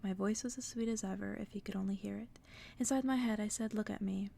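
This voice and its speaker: A woman reading a story aloud in a soft, breathy, unhurried ASMR whisper, as if to send the listener to sleep. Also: medium pitch, steady tone.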